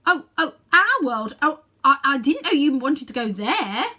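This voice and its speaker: A woman's voice: high-pitched, very animated, measured pace, bright, forceful tone, pitched above the performer's own voice, heavily acted. A woman reading a storybook as an indignant young boy, hesitant and protesting as he speaks. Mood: flustered.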